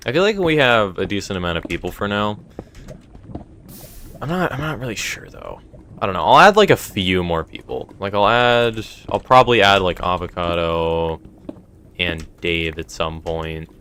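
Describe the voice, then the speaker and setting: Casting: young male streamer, deep voice, strained, rambly chat to viewers, faint noise behind. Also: expressive, normal speed, bright, forceful tone. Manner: rambling, reads chat aloud and answers it. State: voice feeling strained. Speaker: streamer running a game server. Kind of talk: casual live-stream chat.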